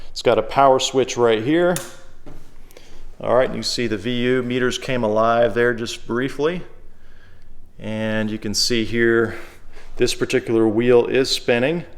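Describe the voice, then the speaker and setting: A man presents an item in an unscripted, informal walkthrough. His voice sits at an average pitch. He talks plainly and easily, thinking aloud as he goes. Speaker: secondhand shop presenter. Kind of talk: casual unscripted product demonstration.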